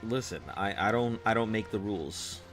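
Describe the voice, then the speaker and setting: A man with a baritone voice chatting casually with viewers on a live game stream, in a loose, rambling way. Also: moderate pace, a bit bright, faint music behind. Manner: rambling, loose, chuckling at chat.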